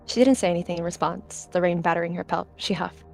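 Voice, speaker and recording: A woman's voice: on the low side, quick pace, creaky, darkish tone, faint music behind. A woman narrating her character's actions in third person for a roleplay game.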